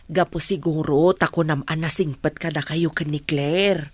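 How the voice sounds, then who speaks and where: A woman's voice: low-pitched, steady tone, normal speed, husky, dry recording. An actress playing an anxious, tender mother talking to her grown child in an Ilocano radio drama. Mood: tender concern.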